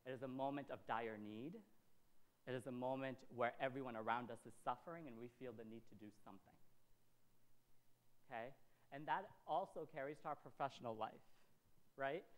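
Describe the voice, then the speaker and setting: A man's voice: medium pitch, rough recording. A man in his thirties from Syria giving a motivational talk, emphatic, repeating phrases and checking in with short tags. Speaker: workshop speaker. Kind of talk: motivational presentation to an audience. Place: indoor event space, presenting in front of a backdrop.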